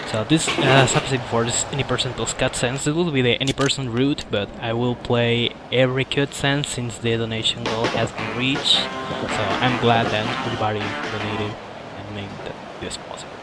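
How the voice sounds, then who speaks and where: English-speaking man over loud music. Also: mid-range voice, fairly even intonation, normal speed, low-quality recording. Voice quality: non-native English. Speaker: speedrunner. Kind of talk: livestream gameplay commentary. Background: game music.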